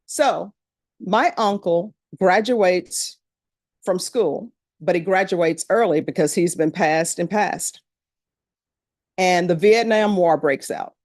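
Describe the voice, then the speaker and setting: A woman's voice: on the low side, slow pace, a little mellow, no room sound, clean studio sound. A middle-aged woman from Louisiana with a Louisiana accent, a judge speaking to a jury panel in a courtroom in a plain, conversational storytelling manner.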